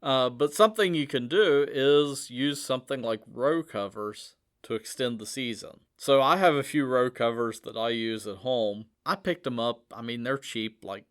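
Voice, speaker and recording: A man's voice: mid-range voice, moderate pace, hardly any pauses, fairly bright tone, clean tone. A man hosting a casual gardening podcast, chatty and easygoing, who laughs at his own asides. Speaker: host.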